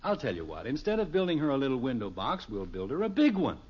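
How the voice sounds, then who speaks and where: A man's voice: medium pitch, wildly swooping pitch, quick pace, breathy edge. An actor playing a cheerful, self-assured middle-aged American father in a 1950s radio sitcom, lecturing in a folksy way. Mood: busily pleased. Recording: muffled old radio recording.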